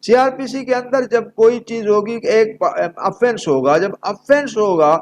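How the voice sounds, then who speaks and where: Slow, high-pitched Pakistani male law lecturer. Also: darkish tone. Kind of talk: lecture, explaining legal provisions.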